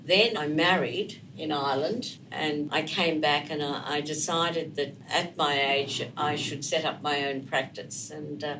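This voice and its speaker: A woman's voice: low-pitched, steady tone, measured pace, hardly any pauses, distant mic, noisy background. An older woman with an Australian accent, giving conversational answers as an interview guest. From Melbourne, Australia. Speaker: podcast guest, immigration lawyer.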